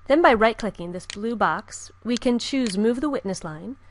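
A woman giving calm, scripted step-by-step narration for a software tutorial. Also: on the high side, moderate pace, a little hiss or hum. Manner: calm, even, step-by-step instruction. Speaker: software instructor. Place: voice-over with screen recording.

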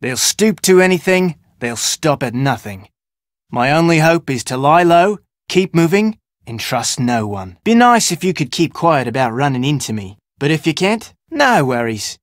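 An actor playing a gruff, wary Australian fugitive in a video game, with brusque, slangy talk. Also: male voice, on the high side, sing-song, moderate pace, dry recording, studio quality. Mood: guarded, confiding. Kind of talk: video game character dialogue.

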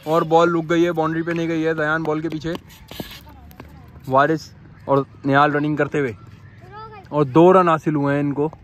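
A man's voice: on the high side, normal speed, long pauses, slight background noise. A young man from Pakistan giving live ball-by-ball commentary on a street cricket match, filmed on a phone outdoors. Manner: repetitive excited play-by-play, calls out to the umpire. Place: outdoor dirt cricket ground.

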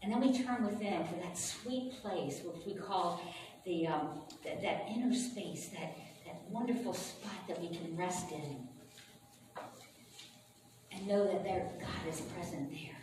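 A woman pastor speaking informally to her congregation in a chatty, folksy, unhurried way, as she welcomes them and leads prayer. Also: lowish voice. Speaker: pastor leading a church service. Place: large echoing room with a congregation. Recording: roomy, distant, noisy church service recording.

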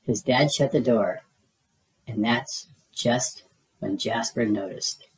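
A man narrates a children's picture book at a very slow pace. His mid-pitched voice is dramatic and suspenseful, drawing out key words. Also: rough recording. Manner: suspenseful, drawn-out emphasis. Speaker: storybook narrator.

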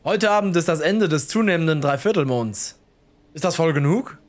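A man's voice: fairly high pitch, animated, normal speed, voice pushed up, natural voice. A man voicing an uneasy, curious everyman in an audio horror story, chatty and hesitant. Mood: questioning. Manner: hesitant, questioning.

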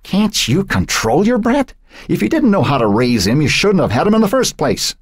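A man's voice: sits high, sing-song, moderate pace, roomy sound, big-room sound, voice pushed up. A man voicing a bitter, harsh old grandmother who scolds her daughter with contempt. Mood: contemptuous.

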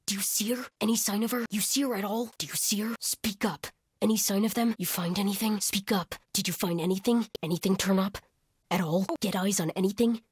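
Husky, terse cult soldier. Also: female voice, medium pitch, a little fast, dry recording. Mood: tense, searching. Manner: terse, clipped commands and callouts. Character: hardened cult soldier woman, vigilant, menacing hunter of trespassers. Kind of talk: video game combat voice lines.

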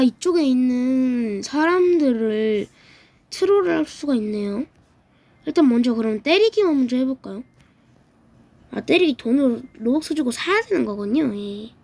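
Woman, high voice, slow unhurried talk, casual game commentary, getting over a throat cold. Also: no room sound. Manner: chatty, reacts aloud with quick exclamations. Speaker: gaming YouTuber.

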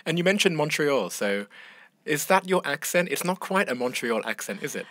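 Man with a curious, measured interviewing manner. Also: on the high side, sing-song, a bit bright, dry recording, clean studio sound. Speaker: podcast host.

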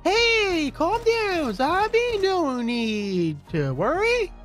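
A man bantering as a co-player in roleplay gameplay, goofy and excitable, often repeating his phrases. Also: extremely high pitch, drawn-out words, faint music behind. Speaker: co-player in a gaming video.

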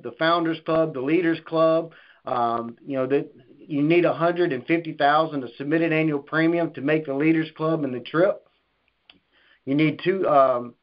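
A man hosting a business conference call, giving announcements in a halting, informal way over a phone line. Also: on the high side.

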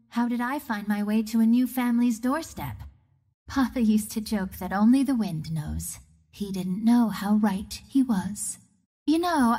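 Reflective young heroine archer, game monologue. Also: female voice, medium pitch, dark tone, breathy edge. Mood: wistful. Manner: reflective, measured storytelling. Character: young female wind archer, wistful orphan seeking truth. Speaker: video game hero character. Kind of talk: dramatic first-person monologue.

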